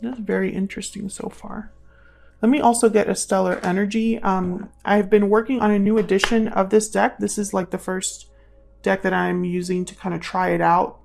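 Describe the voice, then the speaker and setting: Woman with creaky voice, musing tarot reader. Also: mid-range voice, little pitch movement, normal speed, a little mellow, a little hiss or hum. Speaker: tarot reader, channel host. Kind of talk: casual intuitive tarot reading.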